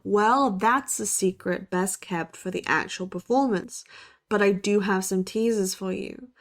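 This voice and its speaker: A woman's voice: medium pitch, close to an everyday voice. A woman playing a folksy, showy theatre-department announcer in an audio drama commercial, drawing out words teasingly. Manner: folksy cowgirl hollering, teasing, drawn-out delivery.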